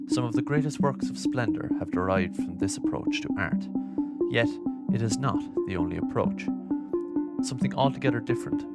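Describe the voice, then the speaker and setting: A man narrating a scripted documentary in a formal, measured, literary way, close on the microphone. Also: fairly low pitch. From Ireland. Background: music.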